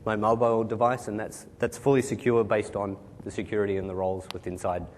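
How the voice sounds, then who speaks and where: A man presenting at a tech conference on a large stage, talking quickly and informally while losing his voice. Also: flat delivery. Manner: quick, informal, kind-of fillers. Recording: hall reverb, faint rumble.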